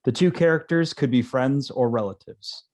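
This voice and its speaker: Mid-pitched man introducing a play. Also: normal speed, darkish tone. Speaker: stage directions reader. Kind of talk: scripted narration introducing a play. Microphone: video call.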